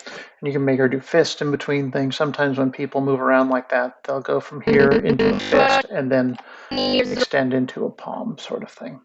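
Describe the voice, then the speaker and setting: A man teaching animation in a casual screen-share tutorial, thinking aloud in a relaxed way. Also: mid-range voice, moderate pace, creaky. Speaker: animation instructor. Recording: muffled lo-fi audio with faint music.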